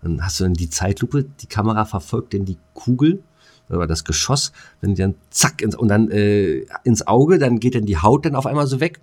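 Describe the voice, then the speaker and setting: A man's voice: lowish voice, lively intonation, normal speed, darkish tone, husky. A man with a German accent chatting as a podcast co-host in a rambling, slangy way that jumps between thoughts. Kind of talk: casual chat.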